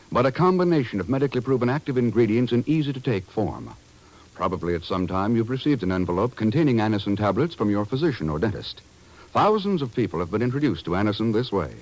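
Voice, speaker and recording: A man announcing and narrating in a scripted 1940s radio style, with a measured, formal, polished delivery. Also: medium pitch, rough recording.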